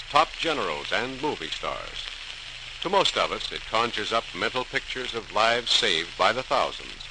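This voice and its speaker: Male host, vintage scripted narration, formal, dramatic, animated pitch, noisy old radio recording. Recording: noisy, crackly 1940s radio transcription.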